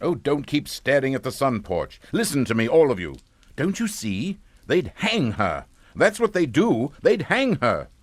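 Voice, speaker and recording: A middle-aged English father, head of a respectable household in a radio thriller. He is pompous and bossy, with a mid-pitched, very animated voice that snaps out orders in a dry studio sound. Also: unhurried, no room sound. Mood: exasperated, scolding. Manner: pompous, scolding, emphatic. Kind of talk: radio suspense acting.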